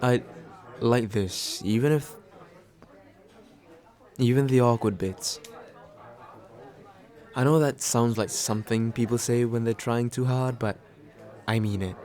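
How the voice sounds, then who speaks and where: A man playing a tender, nervous, attentive date in a soft, intimate ASMR roleplay, halting and gently self-deprecating with small stammers. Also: medium pitch, a faint crowd, natural voice. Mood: tender, reassuring. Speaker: voice actor in an audio roleplay.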